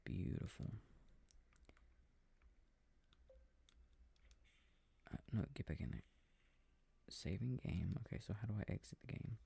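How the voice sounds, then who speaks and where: A man playing an affectionate, easily startled boyfriend in an intimate sleep-aid roleplay, rambling softly to himself about his game and often drifting into whispers. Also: low voice, expressive, a little fast, vocal fry, husky, exaggerated voice. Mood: relaxed, sleepy.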